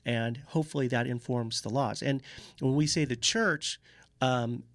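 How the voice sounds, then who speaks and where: A man's voice: mid-range voice, a little slow, dry recording. A man guest speaking earnestly about faith and public action, with some restarts. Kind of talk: advocacy talk.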